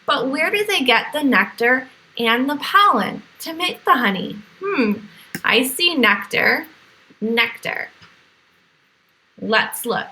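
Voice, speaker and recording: A woman reading aloud as a teacher to young children, with animated exclamations, questions and explanatory asides. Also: high-pitched, takes it slowly, low-quality recording. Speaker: teacher reading to a virtual class.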